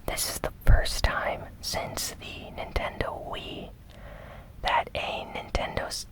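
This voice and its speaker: An ASMR gaming news host who speaks entirely in a whisper. The delivery is slow and soft, an unhurried news recap given close to the microphone. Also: poor audio.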